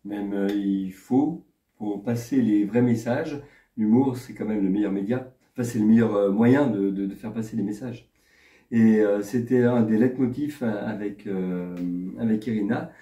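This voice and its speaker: Halting guy, very dark low voice, far from mic, echoey. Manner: halting, restarts often. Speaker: co-writer of the play. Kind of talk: interview.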